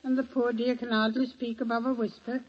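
Brief: actress as a high-voiced, talkative helper woman, old radio sound. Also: little pitch movement, fairly quick, natural voice. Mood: gabbing. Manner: garrulous, dramatic storytelling. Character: chatty Irish boarding-house helper woman. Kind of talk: 1940s radio acting. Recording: dull old radio recording.

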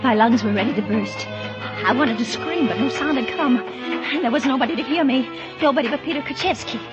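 Terrified wife, played by an actress, very high breathless voice, overwrought radio suspense, music behind. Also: female voice, fairly quick, muffled recording, close to an everyday voice. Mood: breathless, panicked. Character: terrified, frantic married woman under threat. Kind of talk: 1940s radio suspense acting.

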